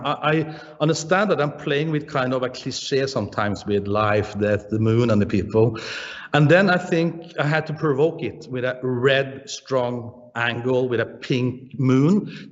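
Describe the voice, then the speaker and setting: Danish-accented man in a reverberant room. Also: medium pitch. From Denmark. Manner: halting, searching for words, frequent "uh" and restarts. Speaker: painter being interviewed. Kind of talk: relaxed interview answers. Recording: dull, roomy sound with long reverb.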